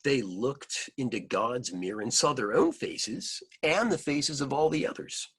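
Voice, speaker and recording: A man reading a picture book aloud to children, adding simple explanatory asides in an expressive manner. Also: mid-range voice, unhurried, husky.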